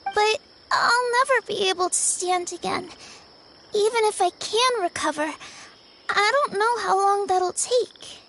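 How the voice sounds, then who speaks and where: A woman's voice: very high voice, a little slow, a little hiss or hum, heavily acted, low-quality recording. A woman voicing a determined young girl in a video game who loves running, earnest, proud and stubborn. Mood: sad. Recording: game audio with loud music behind.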